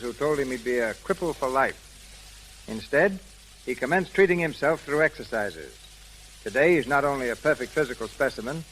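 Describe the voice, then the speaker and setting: A man's voice: medium pitch, fairly bright tone. A man announcing from a script in 1930s radio style. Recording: noisy 1930s radio recording, faint audience.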